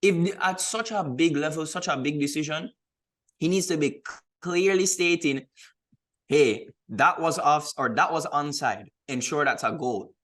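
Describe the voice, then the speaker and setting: A man's voice: on the high side, moderate pace, a bit bright. A man co-hosting a sports podcast, giving emphatic opinions in a casual discussion and repeating points to stress them. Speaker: podcast co-host.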